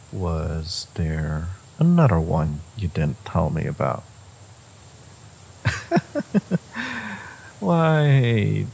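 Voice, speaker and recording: A man voicing a smug, possessive, flirtatious fantasy villain in an intimate romance audio roleplay, teasing with hesitant pauses. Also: vocal fry, darkish tone, breathy edge, heavily acted. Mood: amused, musing. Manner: teasing, hesitant pauses, frequent low chuckles.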